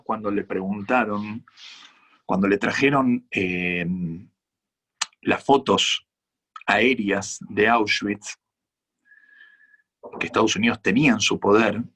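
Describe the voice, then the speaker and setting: An Argentine-accented rabbi answers audience questions in an earnest, measured way. His low voice carries a husky edge. He is a man speaking at a conference. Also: a bit bright. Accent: Argentine Spanish. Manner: earnest, measured, reflective explanations. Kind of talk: conference Q&A talk.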